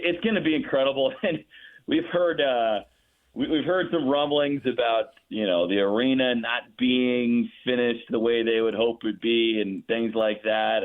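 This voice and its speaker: Man on a phone-like line. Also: medium pitch. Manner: enthusiastic. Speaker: radio play-by-play broadcaster as guest. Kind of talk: conversational sports analysis. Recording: narrow muffled phone-like line.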